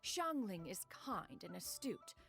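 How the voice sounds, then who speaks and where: A woman's voice: mid-range voice, very animated, takes it slowly, creaky, fairly bright tone, full character voice. A woman voicing a proud immortal crane spirit in human guise, with pompous, archaic diction, in a video game. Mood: composed, approving. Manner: pompous, archaic formal diction, calls herself "one". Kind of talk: theatrical video game voice acting. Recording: game music behind.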